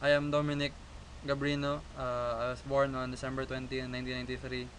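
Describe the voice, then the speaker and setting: A nineteen-year-old man from the Philippines, a student presenting a class project and reading his slides haltingly. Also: mid-range voice, monotone, a bit bright, slight background noise, poor audio. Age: teens. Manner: reads slides haltingly, with restarts.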